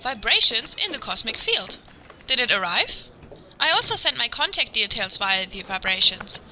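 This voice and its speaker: A woman's voice: fairly high pitch, big pitch swings, normal speed, pushed and bright, soft background music, full character voice. A woman playing a wide-eyed, earnest and easily impressed astrology customer in a comedy podcast sketch. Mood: amazed.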